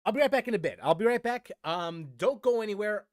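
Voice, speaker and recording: A man's voice: high-pitched, expressive, quick pace, dry recording, clean studio sound. A man chatting casually to viewers as a livestreamer, rambling and informal, with music playing behind him. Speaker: game livestreamer.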